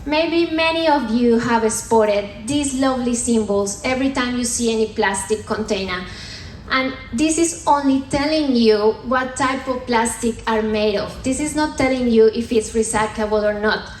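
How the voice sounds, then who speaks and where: A woman's voice: fairly high pitch, moderate pace, a little hiss or hum. Colombian-accented woman joking on a roomy stage. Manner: playful, teasing the audience. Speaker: sustainability manager giving a comedy science talk. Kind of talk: stand-up style talk on stage. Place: theatre stage at a comedy festival. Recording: roomy hall with faint ambience.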